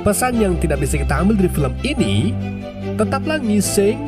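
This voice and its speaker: A man's voice: high-pitched, sing-song, a little fast, over loud music. A man narrating a film recap in a casual scripted style. His voice is stuffy and nasal from a cold.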